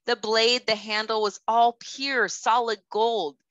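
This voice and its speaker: A woman in her thirties, a kindergarten teacher reading a children's storybook aloud with careful, expressive delivery. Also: on the high side, slow, dry recording, lo-fi sound. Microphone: headset.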